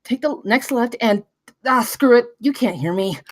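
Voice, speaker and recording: Sci-fi adventurer played by a woman: high-pitched, frantic, commanding, exclamatory. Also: animated, normal speed. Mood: urgent, commanding. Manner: urgent, exclamatory. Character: frantic, bossy woman space adventurer in danger. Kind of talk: live table read of a sci-fi film script.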